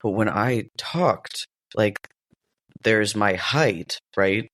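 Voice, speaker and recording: A man's voice: on the low side, extremely slow, a bit bright, slight background noise. A man chatting as a guest on a podcast, rambling loosely and laughing often. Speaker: guest, game master. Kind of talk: casual podcast chat.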